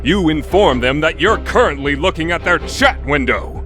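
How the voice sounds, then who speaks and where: A man's voice: on the high side, expressive, moderate pace, lightly acted. A man playing a bombastic narrator in a romantic comedy anime radio play, with grave, emphatic, theatrical delivery. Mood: dramatic, explanatory. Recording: music under the voice.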